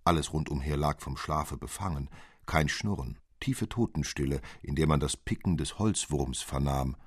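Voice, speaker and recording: A man narrating a literary fairy tale as an audiobook reader, articulate, dramatic and expressive. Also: very deep voice, normal speed, breathy edge, dry recording, clean studio sound.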